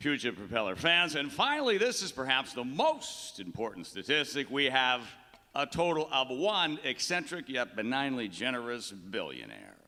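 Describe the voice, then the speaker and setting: Grandiose, bright-voiced old baseball announcer. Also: male voice, mid-range voice. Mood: pompous, wry. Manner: theatrical, rambling, play-by-play delivery. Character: eccentric, grandiose older retired baseball announcer, stubborn father. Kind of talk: live radio drama acting. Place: large hall, on stage. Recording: live stage show in echoey hall.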